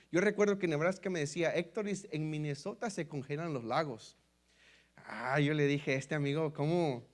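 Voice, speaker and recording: A Mexican man with a Mexican Spanish accent, a pastor telling his testimony in a sermon, often calling his listeners brothers and acting out the dialogue. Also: sits high. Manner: storytelling, repeatedly addressing listeners as brothers; lively, acts out quoted dialogue.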